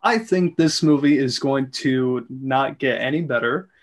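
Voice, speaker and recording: A man's voice: mid-range voice, unhurried. A man chatting casually as a member of a script reading group. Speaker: script club participant. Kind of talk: casual chat.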